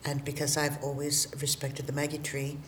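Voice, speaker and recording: A woman's voice: low voice, monotone, moderate pace, slight background noise. An older woman, a semi-retired theatre actor, speaking wryly in an interview. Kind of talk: interview soundbite.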